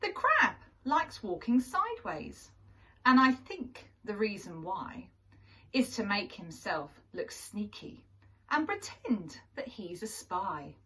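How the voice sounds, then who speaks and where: A woman reading a picture book aloud to young children as a teacher, in an expressive storytelling manner with deliberate pauses. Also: medium pitch. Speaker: primary school teacher reading to pupils. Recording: distant microphone.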